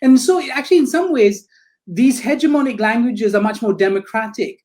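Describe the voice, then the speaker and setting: A woman's voice: fairly high pitch, normal speed, a little mellow. A woman theatre critic on a panel discussion, speaking thoughtfully with many restarts and fillers, over a video call.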